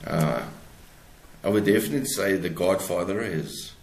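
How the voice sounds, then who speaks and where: A South African man speaks in a deep voice as an interview guest. He answers in a conversational, reflective way, picking through his phrases haltingly. The recording has a clearly echoey room sound. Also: expressive. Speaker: author, podcast guest.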